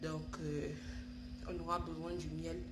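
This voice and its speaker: A woman's voice: low-pitched, level tone, a little slow, echoey room, heavy background noise. A woman with a stuffy voice from a cold, hosting a home remedy tutorial and calling her viewers darlings, often restarting her phrases. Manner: affectionate, calls viewers darlings, repeats and restarts. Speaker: YouTube channel host. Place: home, indoors.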